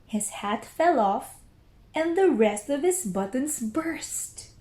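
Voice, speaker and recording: Woman doing a kids storybook read-along, slow n careful, super animated, echoey room. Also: high voice. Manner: deliberate, with careful pauses. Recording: roomy echo.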